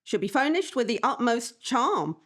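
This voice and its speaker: A woman's voice: a little high, very animated, normal speed, a bit bright. A woman playing a wry, unimpressed rental agency clerk in a live radio drama, offhand and wisecracking. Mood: deadpan reading. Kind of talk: live 1940s-style radio drama acting. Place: live performance in a library before an audience.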